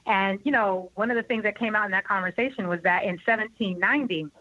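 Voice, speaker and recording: A young woman with a bright, forceful voice speaks in a dry, close recording that sounds a little muffled and lo-fi. She explains with earnest emphasis, pressing her points home and laughing easily as she goes. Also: medium pitch, quick pace, no room sound. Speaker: guest. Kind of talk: explanatory interview talk.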